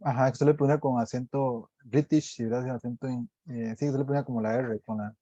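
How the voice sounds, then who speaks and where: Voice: mid-range voice, steady tone, quick pace, dark tone, no room sound, dull audio. An adult student in an online English lesson, curious and eager, asking questions and repeating words to practise.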